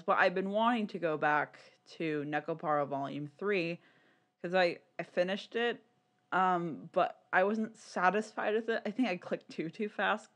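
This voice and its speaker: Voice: medium pitch, fairly bright tone. A podcast co-host chatting casually in a rambling, candid, giggly way.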